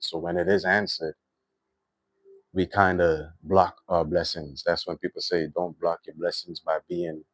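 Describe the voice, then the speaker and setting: A man with a Trinidadian accent hosting a livestream, talking in a reflective, unhurried way with lots of "you know" and "right". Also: low-pitched, dry recording, low-quality recording. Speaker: livestream host. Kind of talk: spiritual livestream monologue.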